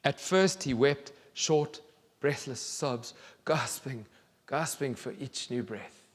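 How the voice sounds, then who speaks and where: A man in his thirties reads a storybook very slowly, with deliberate pauses and expressive phrasing. His mid-pitched voice carries a long reverb from a large theatre. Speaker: children's author reading aloud. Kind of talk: storybook reading to an audience. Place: large theatre stage, indoors.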